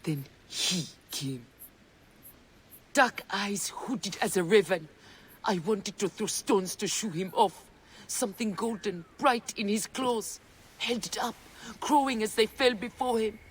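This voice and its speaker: Game role: elderly grieving peasant woman, raspy, unhurried, grave storyteller with a bitter edge. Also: mid-range voice. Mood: haunted. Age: older. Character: anguished old Siwan farm woman, bitter eyewitness, nearly blind. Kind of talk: dramatic video game acting.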